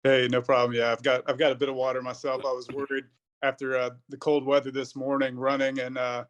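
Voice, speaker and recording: A man's voice: medium pitch, monotone, a little fast. A man in his thirties, a pharmacist guest on a podcast, answering casually in a rambling way. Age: 30s. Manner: rambling, many fillers and restarts.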